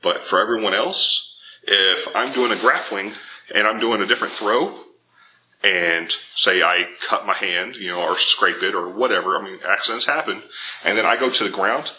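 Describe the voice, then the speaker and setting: A man in his thirties giving informal, animated, emphatic instruction to camera as a martial arts teacher. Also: lowish voice, moderate pace, a little hiss or hum, low-quality recording. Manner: animated, emphatic, acts out imagined objections. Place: indoor dojo with bare walls and wood floor.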